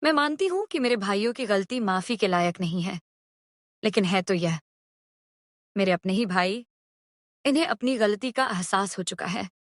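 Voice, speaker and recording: A woman's voice: mid-range voice, animated, normal speed, pauses often, no echo, studio quality, close to an everyday voice. Woman acting a pleading, forgiving young sister. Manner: pleading, tearful.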